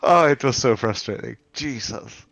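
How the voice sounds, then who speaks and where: A man's voice: mid-range voice, moderate pace, vocal fry, a bit bright, lo-fi sound. A man chatting casually as a player after a tabletop game. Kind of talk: casual chat.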